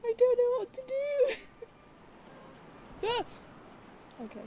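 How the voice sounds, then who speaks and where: A high-voiced woman chats casually through a video game, thinking out loud. Her tone is soft-edged and mellow, with a giggly, self-deprecating streak, and she takes her time between hesitant phrases. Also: takes it slowly, a little hiss or hum. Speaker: game streamer playing and commenting. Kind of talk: casual gameplay commentary.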